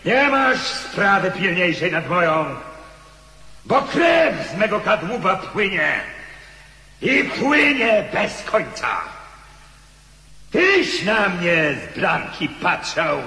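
A man's voice: sits high, big pitch swings, slow, pushed and bright, full character voice. A man playing the bitter ghost of a beheaded Polish nobleman in a radio drama, declamatory, anguished and accusing. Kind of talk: theatrical radio drama acting. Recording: echoey hall with long reverb.

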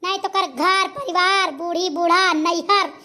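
A woman voicing an angry spirit possessing a village woman, booming and commanding, in a cartoon. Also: fairly even intonation, measured pace, sharp tone, exaggerated voice. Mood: wrathful. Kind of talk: cartoon voice acting.

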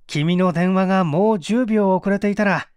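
A man's voice: high voice, moderate pace, darkish tone, smooth, no echo, studio quality, natural voice. A man voicing a composed, wry male detective in an old mystery story. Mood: wry composure.

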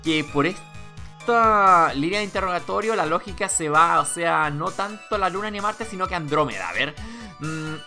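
A woman's voice: lowish voice, animated, moderate pace, pushed and bright. A woman with a Chilean accent giving casual gaming commentary in an exasperated, sardonic way, swearing freely. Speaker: let's player reading game dialogue aloud. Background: video game music.

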